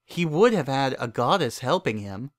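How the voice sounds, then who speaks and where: A man's voice: medium pitch, big pitch swings, moderate pace, dry recording, studio quality, close to an everyday voice. Man voicing a patient young mare babysitter. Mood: gently reasoning.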